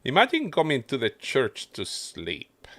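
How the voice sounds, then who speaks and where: A man's voice: mid-range voice, wildly swooping pitch, a little slow, a bit bright, no room sound. A man doing casual livestream game commentary, muttering his running thoughts to himself as he plays. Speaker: game streamer.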